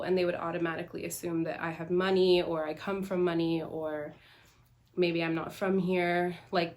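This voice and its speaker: A young woman from the Bahamas answering interview questions about herself in a conversational, reflective way. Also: lowish voice. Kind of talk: candid personal interview.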